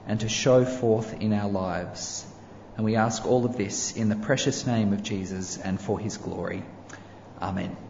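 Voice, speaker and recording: A male pastor prays aloud with a measured, solemn cadence and a mellow, dark tone. The voice rings through a large church hall with long reverb, over faint room ambience, and sounds somewhat muffled. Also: lowish voice, slight background noise. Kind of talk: public prayer.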